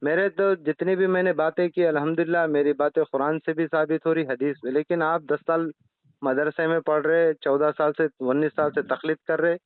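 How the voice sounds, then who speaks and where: A man with a fairly high, clear voice argues insistently in a heated religious debate. He pushes his points and talks over his opponent. The sound is a dry, thin phone call recording. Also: fairly even intonation, normal speed, dry recording. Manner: argumentative, insistent, interrupting.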